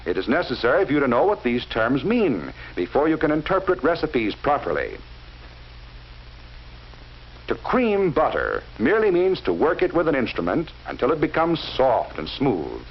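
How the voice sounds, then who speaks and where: A man narrating a 1940s educational film in a measured, instructive way, speaking directly to the viewer. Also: medium pitch, wildly swooping pitch. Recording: dull lo-fi 1940s film soundtrack with faint hiss.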